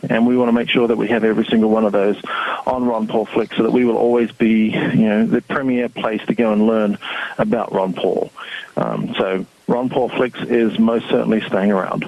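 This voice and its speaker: A man's voice: mid-range voice, highs cut off. A man from New Zealand with a mixed New Zealand and Australian accent, a guest speaking earnestly and rallying listeners in an interview. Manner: earnest, rallying, measured delivery with fillers. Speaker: guest, website founder. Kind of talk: casual interview conversation.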